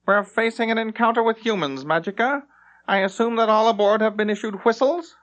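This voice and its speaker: A man's voice: high-pitched, a little fast, fairly bright tone, voice pushed up. A man voicing a haughty, self-important elven ship captain with a sneering, condescending delivery in a fantasy audiobook. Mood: bland, commanding. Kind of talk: audiobook character acting in a fantasy story.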